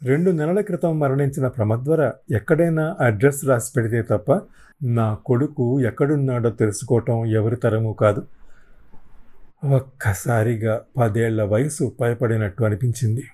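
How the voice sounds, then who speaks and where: A male audiobook narrator with a dark, mellow tone and a medium pitch. He tells the story dramatically in the first person. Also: normal speed.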